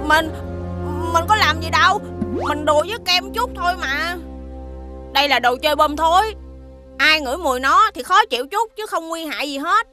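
A woman's voice: very high-pitched, moderate pace, music in the background. A woman with a southern Vietnamese accent voicing a mischievous, defensive little schoolboy in a children's cartoon.

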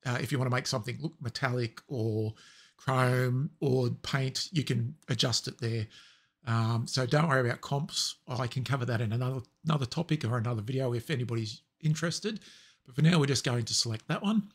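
Raspy man walking through a tutorial. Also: medium pitch, brisk. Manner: relaxed step-by-step explaining with fillers. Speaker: tutorial presenter. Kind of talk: screen-recorded software tutorial.

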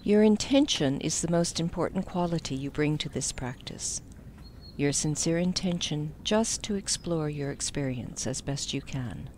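A woman with a slightly low voice leads a guided meditation. She instructs gently, letting the ends of her phrases fall soft. There is some faint noise behind her voice. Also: expressive, moderate pace.

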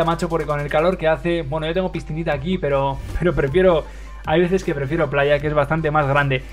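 A young man from Madrid with a Spain Spanish accent, hosting a livestream and commentating a mobile game match with lots of fillers. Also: fairly high pitch. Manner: excitable play-by-play, many fillers. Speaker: livestream host and caster.

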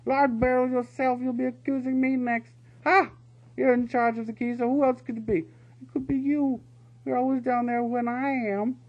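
A man voicing a pair of quarrelling weasel guards in a storybook reading, in a shrill, yelping manner. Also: fairly even intonation, normal speed. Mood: bickering. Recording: dull lo-fi recording with faint hum.

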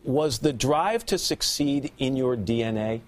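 A man's voice: mid-range voice, expressive, measured pace. A middle-aged male TV host asking a panel upbeat questions in a studio. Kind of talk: morning show interview. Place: TV studio set.

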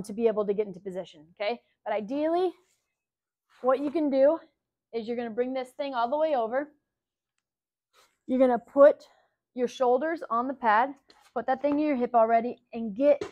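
Higher-voiced woman, upbeat coaching, dry sound, demonstrating lifts in a gym. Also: dry recording. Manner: upbeat, instructive, checks in with "okay?". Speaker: fitness coach. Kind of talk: exercise tutorial. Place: indoor gym.

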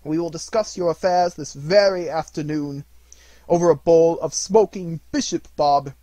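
Old miser for an audiobook, gruff and booming, read by a man. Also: very animated, normal speed, a little mellow, no echo, heavily acted. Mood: joyful, loud. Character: old male miser, reformed, hearty and gleeful. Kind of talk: audiobook reading.

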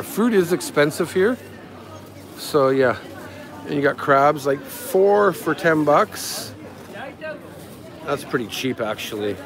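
Male travel vlogger, mid-pitched, loose offhand guide chat, recorded outdoors amid clear street crowd noise. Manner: rambling, offhand narration while walking. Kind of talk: casual walking-tour commentary. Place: busy outdoor market street. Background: street crowd, passing vehicles.

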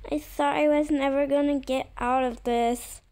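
A woman voicing a whiny, dramatic young sister who bickers and protests in an animated roleplay story. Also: sits high, moderate pace. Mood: annoyed.

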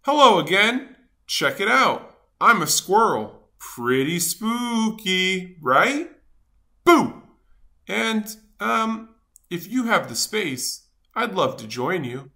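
A theatrical, fretful seed fellow from a children's storybook, acted out by a woman. He swoops up and down in pitch and draws his words out slowly in a bright, forceful tone. He sounds self-important and grumpy, yet good at heart. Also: big pitch swings, heavily acted. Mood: cheerful. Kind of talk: animated children's storybook read-aloud.

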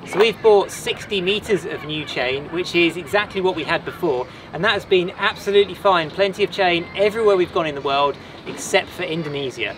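A man's voice: sits high, fairly quick, few pauses. A man in his thirties, a sailing vlogger, casually explaining maintenance work on his boat outdoors. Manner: relaxed, practical, explains while working. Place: outdoors on a boat and a marina dock. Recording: outdoor noise behind.

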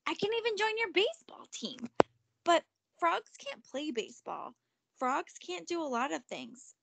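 A woman's voice: extremely high pitch, very animated, unhurried, gravelly, very noisy, voice pushed up, full character voice. A woman voicing a young frog prince, haughty and insistent, in a storybook reading. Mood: earnest, pleading. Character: princely young male frog, pompous and pleading.

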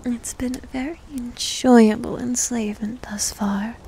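A woman playing a needy, teasing, doting succubus demon servant in an intimate ASMR roleplay, breathy and mostly whispered, close to the microphone. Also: on the high side, fairly even intonation, mellow, slight background noise. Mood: sly, seductive. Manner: coy, teasing, slow and drawn-out.

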